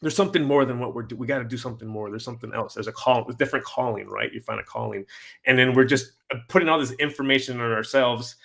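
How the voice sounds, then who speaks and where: Bright-voiced man, halting talker. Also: mid-range voice, creaky. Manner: halting, repeating himself. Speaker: interview host. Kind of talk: casual chat.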